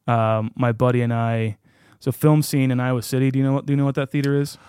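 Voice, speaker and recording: Male podcast guest, mid-pitched, informal and joking conversational delivery, dry recording. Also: little pitch movement, fast talker, a little mellow, smooth, no echo. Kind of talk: casual podcast chat about films.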